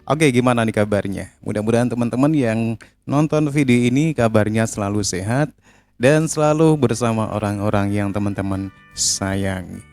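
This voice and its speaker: A man's voice: fairly low pitch, normal speed, a little mellow, dry recording. Indonesian male host, friendly intro, mid voice, light music bed. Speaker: reaction channel host.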